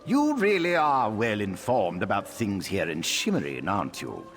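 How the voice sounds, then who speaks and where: A pompous elf aristocrat sneers in a low voice whose pitch swoops wildly between lofty highs and cold dips. Every polite word drips with disdain. Soft game music sits beneath. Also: male voice, sing-song, normal speed. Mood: sneering. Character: haughty condescending male high-elf noble, pompous. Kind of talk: video game voice acting. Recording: game audio with faint music.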